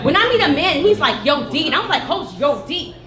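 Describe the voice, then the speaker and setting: A woman on a live panel, talking in an animated, storytelling way and checking often for agreement. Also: high voice, a little fast, crowd noise. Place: echoey art venue, live taping. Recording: roomy live recording with music behind.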